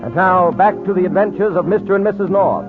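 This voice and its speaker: A man's voice: high voice, animated, fast talker, music in the background. A man working as a radio announcer, with a polished, emphatic 1940s sales and narration delivery. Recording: tinny 1940s radio recording.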